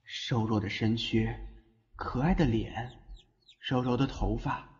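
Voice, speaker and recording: A man playing a smitten, easily flustered young man in an audio drama, expressive, tender and a little exasperated. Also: mid-range voice, slow pace, husky. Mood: tender, reflective. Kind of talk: audio drama acting with inner monologue. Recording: muffled lo-fi audio drama over music.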